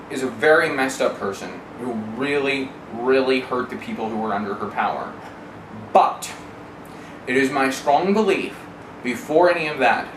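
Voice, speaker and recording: A man giving a candid first-person account as an interviewee, with a wry, sardonic, mildly profane and analytical way of talking that wanders into asides. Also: medium pitch. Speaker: interviewee recounting personal experience. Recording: roomy, distant mic, clearly noisy.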